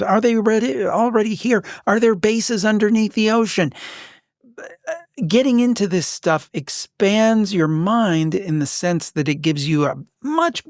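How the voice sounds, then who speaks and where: A man with a slightly high voice and plenty of fry hosts a podcast conversation. He talks in an informal interview style, recorded close and dry with little room sound. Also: no echo. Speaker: podcast host.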